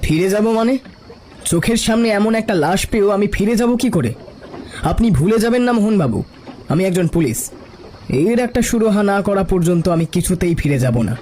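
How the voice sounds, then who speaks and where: A man's voice: high voice, animated, fairly quick, darkish tone, slight background noise, pitched above the performer's own voice. A man playing a stubborn, commanding police officer who gives curt orders in a cartoon story. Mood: stern resolve.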